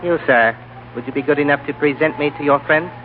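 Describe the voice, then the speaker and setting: An actor playing a suave, formal male guide to a mountain lamasery, speaking in a courtly, measured way in a 1930s radio drama. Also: on the high side, a little hiss or hum, natural voice. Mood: calm courtesy. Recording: tinny old radio broadcast recording.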